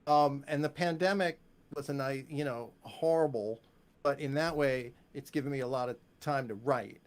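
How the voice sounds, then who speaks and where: A man's voice: medium pitch, steady tone, moderate pace. A man chatting casually as a guest on a stream, talking in a chatty, rambling way. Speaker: composer, interview guest.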